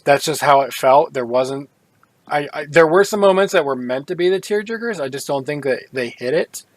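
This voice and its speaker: A man co-hosting a casual gaming podcast, talking hesitantly with many restarts and quiet trailing off. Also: medium pitch, no echo. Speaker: podcast co-host.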